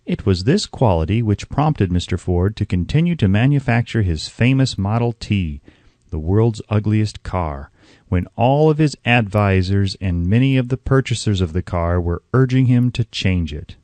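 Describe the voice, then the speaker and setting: A man reading an audiobook as a narrator, in a measured, instructive way with deliberate emphasis. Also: lowish voice, lively intonation, a little mellow, dry recording.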